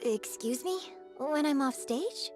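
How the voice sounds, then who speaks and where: A young female opera star in a video game. The voice sits fairly high, with a polite, measured and modest manner. Faint music runs underneath. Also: lively intonation. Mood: puzzled.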